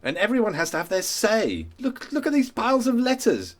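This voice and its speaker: A man's voice: sits high, wildly swooping pitch, a little fast, exaggerated voice. A man playing a sardonic, sociable wartime civil servant with a British accent in a radio play. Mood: cynical. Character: sardonic, sociable male wartime government office worker.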